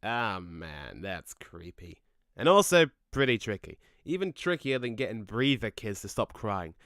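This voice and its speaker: A man playing a cheeky, excitable ghost in an audio drama, chatty and exclamatory in his delivery. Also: lowish voice, unhurried, no echo, studio quality. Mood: chatty, advising. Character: Sonny, excitable, cheeky male ghost, matey and mischievous.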